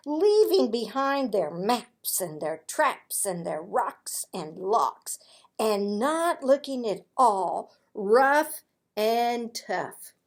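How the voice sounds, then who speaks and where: Lady reading a kids story out loud, really slow and swoopy, playful dramatic pauses. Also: a little high, big pitch swings. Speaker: storybook read-aloud host. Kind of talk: expressive children's story reading.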